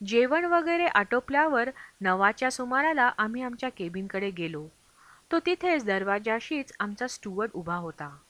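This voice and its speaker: A woman's voice: a little high, lively intonation, normal speed, a bit bright, no echo. A woman reading a travel memoir aloud as scripted audiobook narration. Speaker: audiobook reader.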